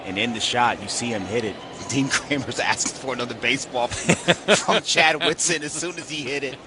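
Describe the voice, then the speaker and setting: A man's voice: medium pitch, normal speed. An American man giving conversational live analysis as a baseball color commentator. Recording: stadium ambience noise behind the voice.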